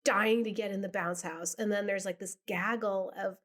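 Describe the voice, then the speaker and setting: A woman's voice: medium pitch, fairly even intonation, normal speed, fairly bright tone. A woman in her early forties co-hosting a casual chat, telling stories in an informal, rambling way. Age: 40s. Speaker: podcast host.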